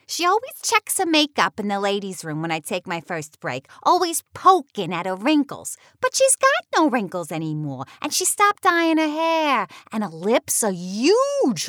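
A woman's voice: a little high, huge pitch swings, normal speed, sharp tone, full character voice. A woman playing a chatty, nosy office worker in a campy, exaggerated audio drama. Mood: gossipy, flustered. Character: chatty, nosy female office worker who turns terrified. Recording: roomy echo, music behind.

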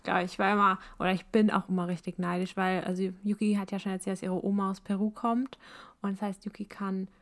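A woman's voice: medium pitch, little pitch movement. Young woman interviewer, encouraging. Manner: encouraging, quick to nod along. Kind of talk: casual conversation.

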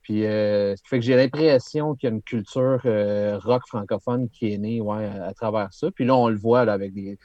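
A man's voice: lowish voice, fairly even intonation, normal speed, hardly any pauses, dark tone, no room sound, muffled recording. A man with a Québécois accent, a musician guest talking casually with lots of fillers and some laughter. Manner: chatty, laughing, many fillers. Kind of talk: casual interview.

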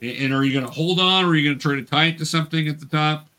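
A man's voice: a little high, pushed and bright. A man patiently guiding a tabletop role-playing game as game master, encouraging players and often restarting his phrases. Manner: encouraging, patient, halting with self-repeats.

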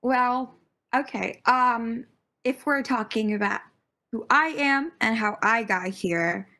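A woman's voice: fairly high pitch, takes it slowly, sharp tone. Bubbly, enthusiastic young woman. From United States (born Virginia, raised Connecticut). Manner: bubbly and enthusiastic, laughs often. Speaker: podcast guest. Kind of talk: casual podcast chat.

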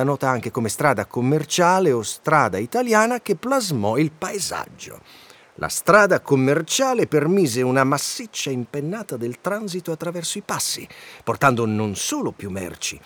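A man's voice: fairly high pitch, dry recording. A man acting in a radio drama as an eager, pedantic guide, didactic and fussy, declaiming what he reads. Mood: insistent. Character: eager, bookish male guide, pedantic and easily flustered. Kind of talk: radio drama dialogue with read-aloud guide text.

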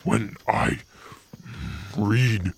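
An actor playing a gentle male monster in a radio drama, gravelly and husky, speaking in halting, broken short phrases. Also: on the low side, fairly even intonation, dry recording, exaggerated voice. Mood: earnest, halting.

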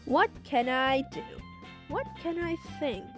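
A woman's voice: sits high, lively intonation, slow, over music. A young female storyteller voicing an irritable, panicky schoolgirl with a whiny, exasperated, dramatic way of talking. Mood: frustrated.

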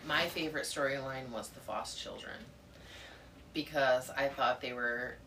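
A soft-spoken woman co-hosting a casual book club podcast, talking in a thoughtful way. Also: rumbling low, a little slow. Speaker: podcast co-host. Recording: distant mic with faint noise.

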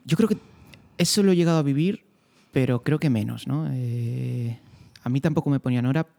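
A man from hosts a relaxed podcast interview. His voice is gravelly and dark. He asks his questions in an easygoing, unhurried way. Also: mid-range voice. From Spain. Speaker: podcast host. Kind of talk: casual interview chat.

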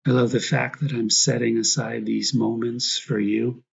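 Male priest for guided meditation: gravelly, husky, reflective, short prayerful phrases, slightly dull recording. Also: mid-range voice, flat delivery, normal speed.

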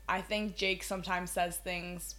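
A young woman from Maryland co-hosting a podcast, chatting in a relaxed way and laughing often. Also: lowish voice, unhurried. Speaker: podcast co-host. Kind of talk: casual podcast chat.

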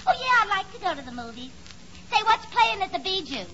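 A woman's voice: very high-pitched, very animated, fairly quick, bright, forceful tone, heavily acted. An actress playing a brassy, catty, man-crazy young woman in a 1940s radio comedy, blunt and mock-genteel. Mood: chatty. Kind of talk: 1940s radio sitcom acting. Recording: muffled, noisy 1940s radio broadcast.

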